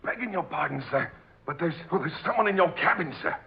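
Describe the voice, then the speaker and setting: An actor playing an agitated, superstitious but deferential sailor with a rustic seafaring dialect in an old radio drama. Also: male voice, a little high, lively intonation, fast talker, creaky, husky, exaggerated voice. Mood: nervous, deferential. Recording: dull, muffled old radio recording.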